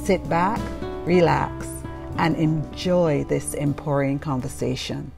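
A woman's voice: low-pitched, unhurried, gravelly. A woman host reading a polished, upbeat scripted introduction to her show. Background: guitar music.

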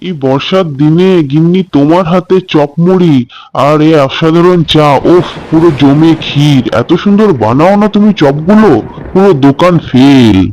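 A man's voice: fairly high pitch, normal speed, darkish tone, muffled recording, natural voice. A man voicing a doting, hearty village father who loves his food, chatty and exclaiming, in a cartoon story. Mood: cheerful, doting. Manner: exclaiming, chatty, emphatic repetition. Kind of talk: Bengali cartoon story voice acting.

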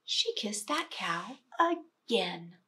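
A woman's voice: medium pitch, slight background noise. A middle-aged woman reading a picture book aloud expressively and explaining it to young children as a teacher. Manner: slow, expressive, explanatory, dramatic stresses.

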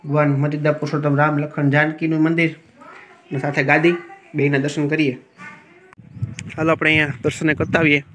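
A man's voice: on the high side, level tone, brisk, long pauses, a bit bright, a little hiss or hum. A man, chatting casually as he walks viewers around a riverside temple on a phone camera. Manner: chatty, pointing things out as he walks. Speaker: village vlogger. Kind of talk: casual guided tour.

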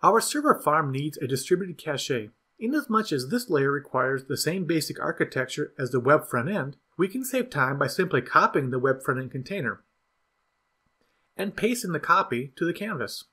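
A man narrating a scripted software tutorial in an even, instructional way. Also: on the high side, moderate pace, breathy edge. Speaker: product demo narrator.

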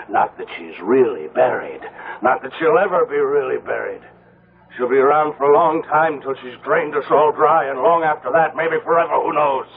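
Drunk, anguished brother, tinny radio. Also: male voice, medium pitch, husky. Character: drunk, anguished, superstitious brother raving about vampires. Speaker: radio drama actor. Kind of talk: 1970s radio drama acting. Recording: muffled narrowband noisy old radio recording.

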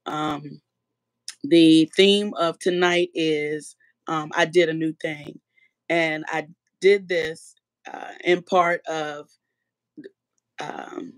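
A woman with a slightly raspy voice from allergies, calling into a livestream as a guest. She talks in a relaxed, easygoing way, and her sound is dry and close. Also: sits low, slow pace, long pauses, no echo. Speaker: guest artist. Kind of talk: casual livestream chat.

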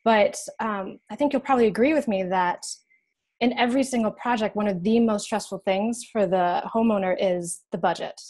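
A woman's voice: mid-range voice, normal speed, darkish tone. A young woman co-hosting a podcast, interviewing a guest over a video call from home in an encouraging way. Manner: encouraging, asks questions with short backchannels. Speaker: podcast co-host. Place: home room, indoors.

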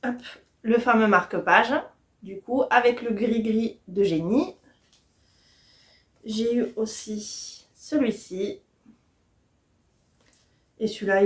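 A woman's voice: medium pitch, slow, long pauses. A woman, chatting casually and enthusiastically to the camera as a book vlogger. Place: small furnished room at home, indoors.

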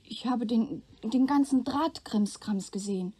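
An actress playing a guarded, loyal young woman giving testimony in a radio play, plain-spoken and measured. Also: a little high, dark tone, breathy edge. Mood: earnest, explaining. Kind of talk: German radio play acting.

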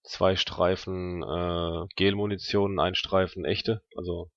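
A fairly low-pitched man chats at a game table. He is hesitant and works things out aloud as a player. The recording is dry and a little muffled. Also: little pitch movement, takes it slowly, dry recording. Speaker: tabletop role-playing player. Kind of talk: casual game-table chat. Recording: slightly dull, dry sound.

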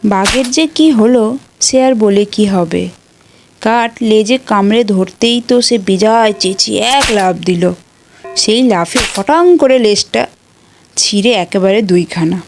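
A woman's voice: medium pitch, a little slow, vocal fry, darkish tone, faint music behind. A woman narrating a scripted children's folktale as a storyteller.

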